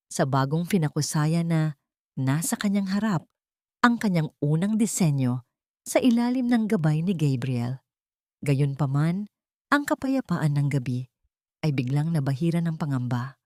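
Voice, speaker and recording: Woman, lower-pitched voice, dry close sound, scripted story narration, measured and solemn. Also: a little mellow, dry recording.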